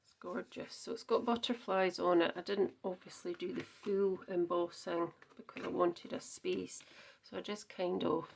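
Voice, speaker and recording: A woman's voice: lowish voice, fairly even intonation, normal speed, vocal fry, a little hiss or hum. A Scottish woman chatting through a card-making demonstration, thinking aloud with frequent ums and small self-corrections. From Scotland. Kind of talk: casual crafting demonstration.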